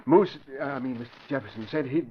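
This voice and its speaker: A man's voice: mid-range voice, fairly quick, slightly rough, natural voice. An actor playing a frightened, nervous man who stammers and pleads, in 1940s radio acting style. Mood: terrified. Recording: dull old radio broadcast with faint hiss.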